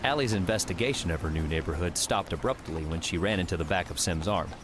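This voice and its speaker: A man's voice: sits low, fast talker, noisy background. A man reading scripted fantasy story narration as an audiobook narrator.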